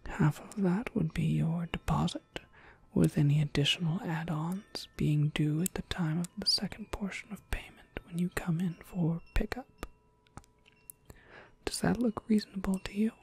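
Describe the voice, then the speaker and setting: Woman doing asmr witch running a spell shop, low breathy whisper, bit of creak, polite and businesslike. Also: exaggerated voice. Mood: courteous, businesslike. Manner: measured, polite, gently explanatory. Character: courteous, businesslike witch shopkeeper who crafts spells.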